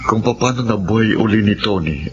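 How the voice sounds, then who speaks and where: Actor as low-voiced worried Filipino husband. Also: male voice, normal speed, highs cut off, a little hiss or hum, natural voice. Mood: troubled. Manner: earnest, serious. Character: doubtful, worried husband and father. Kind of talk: Filipino radio drama acting.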